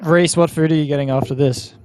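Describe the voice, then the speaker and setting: A young man with a fairly high voice. He chats casually, teasing the others playfully and tossing questions to the group. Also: steady tone, fairly quick, darkish tone, slight background noise. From Australia.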